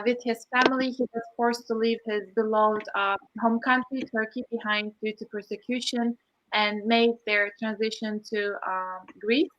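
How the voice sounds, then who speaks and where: A woman introducing the guests of an online panel, reading with many pauses and "uh" fillers. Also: fairly high pitch, fairly bright tone, slight background noise, low-quality recording. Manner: reads with frequent "uh" fillers. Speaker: program host introducing guests. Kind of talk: scripted introduction.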